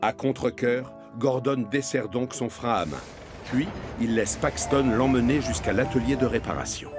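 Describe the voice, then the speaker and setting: A man's voice: medium pitch, moderate pace. Male storybook narrator over prominent music. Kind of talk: scripted children's story narration.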